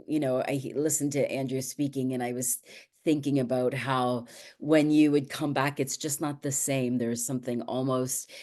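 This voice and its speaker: A woman's voice: a bass voice, darkish tone. A woman born in Portugal and raised in Canada, a children's book author introducing herself on a panel, earnest and searching for words. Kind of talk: panel talk, personal introduction.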